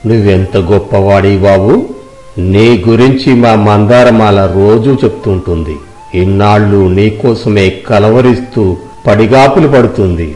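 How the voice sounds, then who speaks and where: A man playing a stately older king and father in a storybook tale, speaking formally and solemnly with deep gratitude. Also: unhurried, a little mellow, roomy sound, faint music behind, natural voice, rough recording. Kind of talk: dramatic children's storybook acting.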